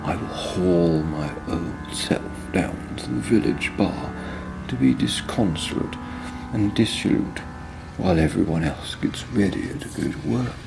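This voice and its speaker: A man reading literary fiction as a disconsolate, dissolute old drinker, halting and deliberate, with a gravelly, breathy edge. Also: sits low, expressive, music in the background, exaggerated voice. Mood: wry, disconsolate. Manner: slow, halting, deliberate with long pauses. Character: disconsolate, dissolute, low-living old village drinker narrating. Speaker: author reading own short story. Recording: clear outdoor ambience and vehicle noise. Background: field recordings of ambience and vehicles.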